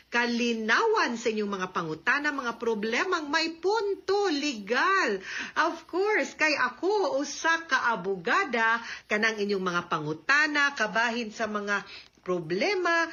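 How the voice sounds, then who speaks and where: A woman's voice: on the high side, pushed and bright, lo-fi sound. A woman with a Cebuano accent hosting radio legal advice as a lawyer, chatty, with English words and tag questions mixed in. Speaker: host and lawyer giving advice.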